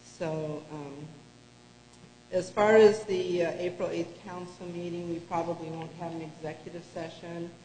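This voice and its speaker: A woman city clerk giving a report at a council meeting, steady but full of "uh" fillers. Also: fairly low pitch, moderate pace. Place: council chamber. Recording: echoey hall, faint hum.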